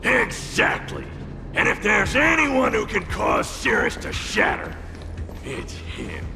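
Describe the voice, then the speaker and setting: An actor playing a fiery, brash fighter in a video game, with a gruff, forceful manner. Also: male voice, sits high, huge pitch swings, takes it slowly, heavily acted. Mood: confident, gruff. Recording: loud music behind.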